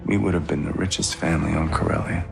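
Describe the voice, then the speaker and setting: A man playing a smug, bitter crime lord out for vengeance in a cinematic game, speaking with deliberate menace. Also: extremely low pitch, steady tone, gravelly, heavily acted. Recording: dark music under the voice.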